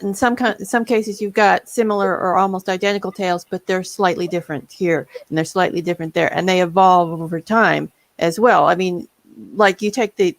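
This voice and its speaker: A woman's voice: medium pitch, no echo. A woman talking informally as a guest author on a panel, explaining things in plain conversational terms. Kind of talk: casual panel discussion.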